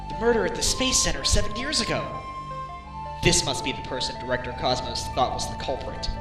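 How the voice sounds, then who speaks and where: Man voicing earnest young game lawyer, medium pitch, determined, probing, dramatic, faint music behind. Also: close to an everyday voice. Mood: accusing. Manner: deliberate, dramatic accusations. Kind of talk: video game fandub acting. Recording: amateur recording with music.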